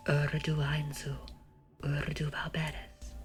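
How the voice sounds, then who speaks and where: Woman acting a low, ominous whispering horror incanter. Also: monotone, over music. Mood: incantatory. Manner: slow, deliberate, chanting delivery. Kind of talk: whispered ASMR scripted horror narration.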